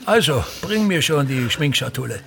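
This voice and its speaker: An older actor playing a pompous, self-pitying, tyrannical old stage actor in a radio drama, declaiming imperiously. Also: male voice, mid-range voice, very animated, normal speed, vocal fry, a little hiss or hum. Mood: imperious, nagging. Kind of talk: theatrical radio drama monologue.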